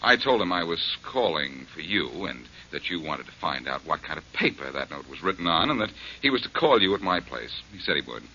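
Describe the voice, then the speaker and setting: An actor playing a breezy, wisecracking detective in a 1940s radio drama. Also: male voice, sits low, very animated, brisk, few pauses, pushed and bright, heavily acted. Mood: wry. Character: wisecracking adult male detective, cool and confident. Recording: dull old radio recording with faint hiss.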